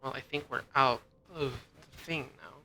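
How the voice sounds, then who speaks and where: Low-voiced woman reacting to a game. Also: moderate pace, background noise. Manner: informal, exasperated, swearing. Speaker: game streamer. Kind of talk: casual gameplay reaction commentary.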